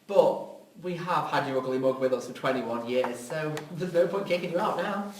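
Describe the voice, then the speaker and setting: A man's voice: fairly high pitch, moderate pace, distant mic, natural voice. A man playing a caring, bookish older brother, pleading and reassuring, in a script reading. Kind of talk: audio drama script reading. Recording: echoey room, off mic, faint noise.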